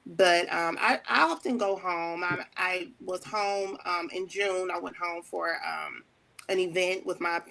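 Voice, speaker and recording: A woman from Chicago, a guest on a talk show, telling her story in a relaxed way with lots of "um"s. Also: on the low side, creaky, low-quality recording. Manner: warm storytelling with many fillers. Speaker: wedding planner and ordained elder, guest. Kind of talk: casual interview talk.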